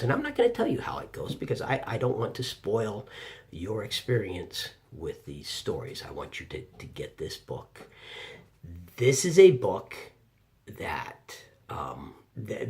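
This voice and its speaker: A man delivers an informal review in a slow, fairly low voice with plenty of gravel in it. He moves haltingly, often repeating a phrase to drive it home. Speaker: audiobook reviewer. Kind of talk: informal spoken video review.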